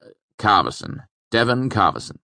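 A man's voice: lowish voice, sing-song, moderate pace, vocal fry, sharp tone, no room sound, pitched above the performer's own voice, heavily acted. A man voicing an uneasy, polite scribe who is new in town and speaks with hesitation. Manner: hesitant, uncertain.

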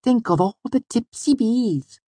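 A tiny waif of a girl in an audiobook, voiced by a man, pitched high and sounding close and dry. She is whimsical and gentle, speaking with grave, wondering precision and softly chiding earnestness. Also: expressive, unhurried, breathy edge, dry recording, full character voice. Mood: sweet wonder. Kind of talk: audiobook character voice.

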